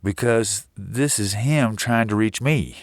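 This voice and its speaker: A man playing a gruff, guarded sheriff in an audio drama, blunt and commanding. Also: fairly low pitch, takes it slowly, no room sound, studio quality. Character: gruff, guarded small-town sheriff taking charge.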